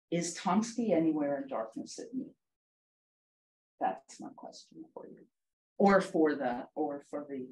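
A man giving prepared comments at an academic roundtable, measured and deliberate. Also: on the high side, darkish tone, a little hiss or hum, low-quality recording. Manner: measured, deliberate reading of remarks. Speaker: discussant.

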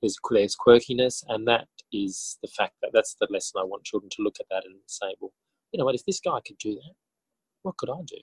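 An Australian man with a lowish voice talks as an interview guest. He is reflective and conversational, pausing and rephrasing as he goes. The sound is fairly dry. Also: lively intonation, slightly rough, no room sound, poor audio. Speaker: interview guest, author and teacher. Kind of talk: conversational interview answers, telling his story.

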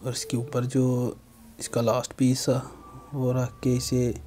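A man's voice: mid-range voice, completely flat delivery, measured pace, mellow, slight background noise. A young man, giving step-by-step how-to narration in a plain, instructional manner. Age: young adult. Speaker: how-to video host.

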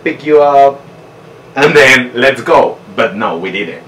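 A man's voice: medium pitch, animated, slow pace, a bit bright. A man in his thirties, a native Spanish speaker with a Mexican accent, chatting casually as a vlogger. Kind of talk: casual chat to camera. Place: small bedroom indoors. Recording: roomy echo, distant mic, faint noise.

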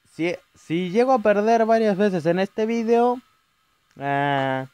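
A young man with a Chilean accent commentating a horror game, yelling at jump scares and rambling between them. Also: high voice, slow, smooth, no room sound. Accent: Chilean Spanish. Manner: jumpy, shouting at scares, rambling asides. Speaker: gaming youtuber. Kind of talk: let's play commentary.

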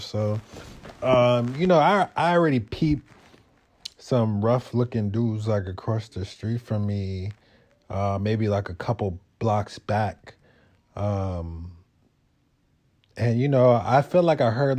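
A man's voice: fairly low pitch, dark tone. A young man from Brooklyn, telling a story in casual, slangy, laid-back talk for a vlog. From Brooklyn, New York. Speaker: motovlogger.

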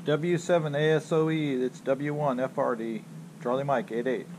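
A middle-aged man with a mid-pitched voice works as an amateur radio operator outside a house. He mixes clipped callouts with casual vlog chat. The recording is very noisy, with radio hiss around him. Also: steady tone, normal speed. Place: outside a house on a residential street.

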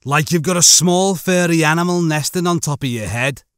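A man's voice: a little high, normal speed, fairly bright tone, no echo, studio quality, natural voice. A man voicing a laid-back, cheeky spaceship crewman in an audiobook, casual and wry. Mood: bemused.

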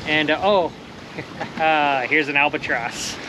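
A man in his forties chats easily to an action camera on the deck of a small sailboat at sea. His voice sits a bit high, loose and cheerful, full of little fillers. Steady wind and water noise wash over the windy outdoor recording. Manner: relaxed, upbeat, loose with fillers and soft laughs. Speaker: solo sailor vlogger. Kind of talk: casual vlog narration. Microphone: action camera close on the boat. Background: wind and water rushing past the hull.

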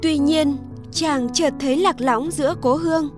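A woman's voice: sits high, unhurried, music in the background. A woman narrator reading a scripted folktale.

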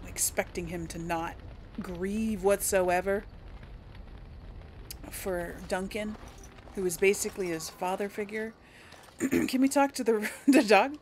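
A woman's voice: mid-range voice, slow pace, long pauses, a little mellow, slight background noise. A woman hosting a casual role-play let's play, chatting to her audience as she plays. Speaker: host of a role-play game series.

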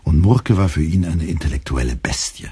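A man's voice: very deep voice, animated, a little fast, warm tone, breathy edge, no echo. A man narrating a literary radio play in a dry, ironic storytelling manner.

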